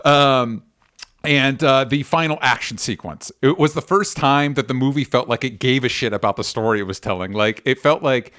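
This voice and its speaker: A man chats as a podcast host with an average-pitched voice. His delivery is loose and conversational, with animated, incredulous asides and the odd swear word. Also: normal speed, no room sound, studio quality. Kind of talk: casual chat.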